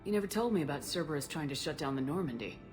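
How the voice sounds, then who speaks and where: A woman's voice: deep voice, expressive, very fast, darkish tone. A woman playing a tough, wry military commander in a video game, speaking in a dry, direct way. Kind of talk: video game cutscene acting. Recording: long echoing hall, music behind.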